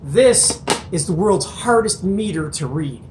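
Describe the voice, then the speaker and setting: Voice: mid-range voice, expressive, a little slow. A host doing a casual hands-on show and tell, enthusiastic and colloquial, with folksy exclamations. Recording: echoey room with faint noise.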